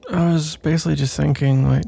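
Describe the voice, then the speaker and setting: A man playing a nervous, pensive roommate in an intimate ASMR roleplay, speaking in a soft, husky, halting ramble. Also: a little high, fairly even intonation, heavily acted. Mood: hesitant, nervous. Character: nervous, pensive male roommate working up to a confession.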